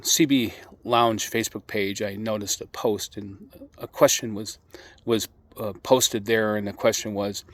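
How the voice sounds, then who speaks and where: A man presents an informal monologue for his radio hobby channel. He speaks deliberately and with emphasis, repeating key words and leaving pointed pauses. His pitch sits in an ordinary middle range, and the sound is dry, with very little room echo. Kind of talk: informal opinion monologue to viewers.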